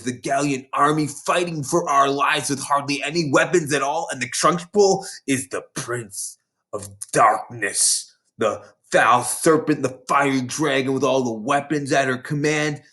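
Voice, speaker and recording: A man's voice: medium pitch, unhurried, pushed and bright. A man voicing a boastful, battle-hardened schoolgirl prankster who tells dramatic war stories from a children's storybook. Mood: grandiose.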